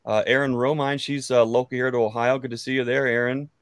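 A man's voice: medium pitch, level tone, quick pace, dry recording. An American man in his thirties, chatting casually in a friendly, meandering way with hesitations, close to the microphone. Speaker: beekeeper, guest on a live chat. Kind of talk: casual chat. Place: book-lined room at home. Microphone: close studio mic on an arm.

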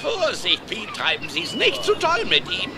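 Nervous polite cartoon official, really high voice jumping all over, busy noisy background. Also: male voice, huge pitch swings, unhurried, over loud music, a loud crowd, full character voice. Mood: apologetic, cautioning. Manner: cautioning, courteous. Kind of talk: German cartoon audio play acting.

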